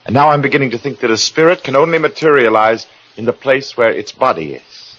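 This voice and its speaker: An actor playing an obsessed, unhinged husband in an old-time radio thriller, theatrical and halting, moving between muttering and frantic intensity. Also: male voice, medium pitch, animated, a bit bright. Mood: coaxing, menacing. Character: obsessed, unhinged husband researching ghosts, secretly murderous. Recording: dull vintage radio recording with music.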